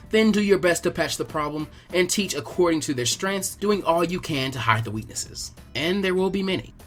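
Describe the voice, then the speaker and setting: A man narrates a fan-written story in a somewhat high voice. He reads from a script, and music plays behind him.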